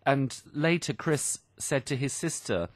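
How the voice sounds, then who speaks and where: A man's voice: mid-range voice, expressive, unhurried, no room sound. A man presenting an English lesson on the radio, explaining phrases simply and didactically with examples and repetition. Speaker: radio programme host and English teacher. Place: radio studio.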